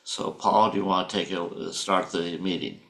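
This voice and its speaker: A man's voice: low voice, fairly even intonation, moderate pace, vocal fry, bright, forceful tone. A man hosting a club meeting over a video call, welcoming people in a hesitant, stumbling way with many fillers. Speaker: meeting host.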